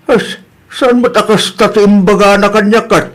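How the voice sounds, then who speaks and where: A man's voice: sits high, fairly even intonation, moderate pace, fairly bright tone. An actor playing an easygoing older village man with a drawling, hesitant manner in an Ilocano comedy radio drama. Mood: puzzled.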